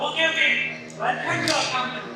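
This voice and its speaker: A man's voice: very high-pitched, steady tone, very slow, heavily acted. A man playing a greedy, stubborn land grabber in an amateur stage play, blunt and exclaiming. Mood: greedy, eager. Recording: very echoey hall, distant mic, loud music.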